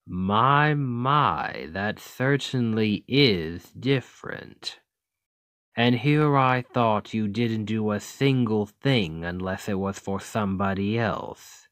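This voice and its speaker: A man voicing a smug, domineering demon king in an ASMR roleplay, speaking in a deliberate, condescending and coaxing way. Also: on the low side, a bit bright, dry recording, studio quality. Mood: smug, persuasive. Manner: slow, deliberate, condescending, seductive menace. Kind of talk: scripted ASMR roleplay monologue.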